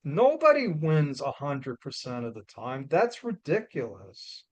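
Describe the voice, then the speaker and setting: A man with a New York accent giving a loud, blunt, emphatic motivational talk as a guest speaker. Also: fairly high pitch, big pitch swings, measured pace, no echo.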